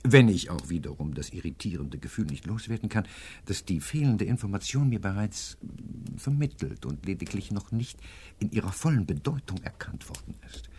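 An actor playing a brilliant, pompous professor detective in a radio crime play, with ornate, pedantic phrasing and an impatient, commanding manner. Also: male voice, low voice, sing-song, moderate pace, dark tone, slight background noise. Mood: pensive, lofty. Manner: pedantic, ornate, theatrical delivery.

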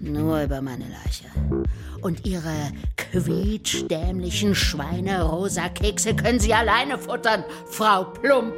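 A woman's voice: on the low side, slow pace, over loud music. A woman playing a stubborn, defiant character in a German children's radio drama, scornful and emphatic. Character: defiant, stubborn woman refusing a villain's offer.

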